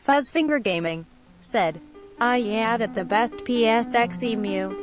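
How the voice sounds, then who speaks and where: A woman's voice: fairly high pitch, unhurried, faint music behind. A synthetic woman's voice reading out livestream chat messages in a literal text-to-speech manner.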